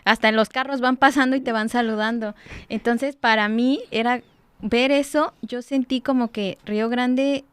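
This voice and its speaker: A woman, a reserved, soft-spoken guest chatting about her story. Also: a little high, steady tone, normal speed, smooth, no room sound. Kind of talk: casual conversation.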